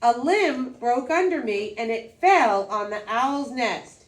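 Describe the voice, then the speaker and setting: A woman's voice: a little high, expressive, slow pace. A woman voicing a nervous monkey in a folktale, pleading his excuse and blaming someone else. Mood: nervous, defensive. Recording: roomy echo, distant microphone.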